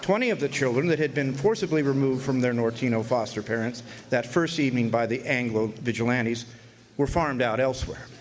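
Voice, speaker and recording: A man's voice: mid-range voice, natural voice, low-quality recording. An actor playing a wry, folksy older man who narrates his Arizona orphan boyhood in a radio drama. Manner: folksy, wry, drawn-out storytelling. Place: live stage performance in a hotel.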